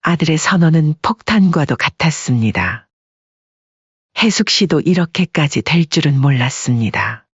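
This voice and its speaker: A woman narrates a scripted family drama as an audiobook. Her voice is husky and mid-pitched. The recording is dry and slightly muffled. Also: no room sound. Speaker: story narrator. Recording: dull lo-fi audio.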